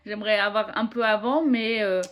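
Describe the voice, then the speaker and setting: A woman's voice: medium pitch, fairly even intonation, normal speed, fairly bright tone. A woman in her late twenties chatting casually to her viewers as a vlogger. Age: 20s. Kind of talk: casual vlog chat.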